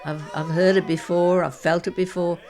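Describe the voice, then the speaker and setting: Woman playing a low-voiced, forthright old grandmother. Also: level tone, moderate pace, warm tone, faint music behind, close to an everyday voice. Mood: candid, amused. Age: older. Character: candid, sociable old grandmother, worldly-wise heroine. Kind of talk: conversational audio drama.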